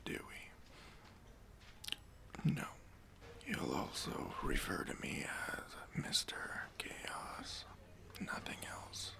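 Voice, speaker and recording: A man's voice: lowish voice, sharp tone, exaggerated voice. Whispering, stingy male boss played by a man. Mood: smug, officious. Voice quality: breathy whisper. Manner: hesitant, condescending, passive-aggressive politeness. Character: stingy, demanding male boss giving a job interview. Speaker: ASMR roleplay performer. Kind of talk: whispered ASMR roleplay. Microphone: close microphone.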